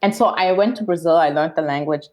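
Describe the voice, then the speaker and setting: A woman from Germany, a guest on a podcast, telling her story in a reflective, steady way with frequent fillers. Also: low-pitched, quick pace. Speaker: podcast guest, social scientist. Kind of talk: casual interview chat.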